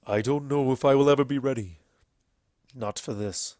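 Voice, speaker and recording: A weary alicorn stallion, played by a male narrator, broods in a mid-pitched male voice. He is halting and heavy, bitter with guilt, in a dry storybook recording. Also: darkish tone, no echo, close to an everyday voice. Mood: pained, bitter.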